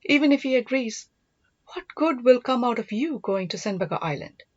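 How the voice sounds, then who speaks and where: Woman voicing a lively, spirited young storybook princess. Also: on the high side, no echo, lo-fi sound, voice pushed up. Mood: doubting, surprised. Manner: quick questioning, exclaiming. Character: gentle young Pallava princess, glum yet spirited sister.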